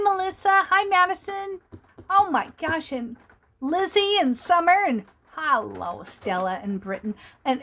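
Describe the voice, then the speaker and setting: Older woman as a children's storyteller: playful, chatty, high voice, slow and unhurried delivery. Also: bright, forceful tone. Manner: asks the young listeners questions. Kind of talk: reading a picture book aloud to children.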